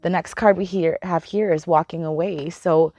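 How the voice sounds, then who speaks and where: A woman giving a casual spiritual card reading, chatty and meandering, with a slightly hoarse voice. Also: lowish voice, fairly even intonation, moderate pace, dark tone. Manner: chatty, meandering, laughs often, swears casually. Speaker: tarot reader.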